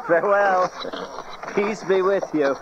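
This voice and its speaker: A man's voice: high-pitched, slow, very noisy. A man playing a hearty, teasing middle-aged father of a grown daughter in an audio Bible drama. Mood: warm farewell. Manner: jovial, teasing, animated. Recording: muffled cassette tape.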